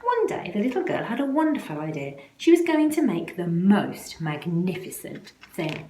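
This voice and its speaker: A woman reading a children's picture book aloud in an expressive way, with deliberate, dramatic phrasing that leans on the action words. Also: medium pitch. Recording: roomy, echoey, faint background noise.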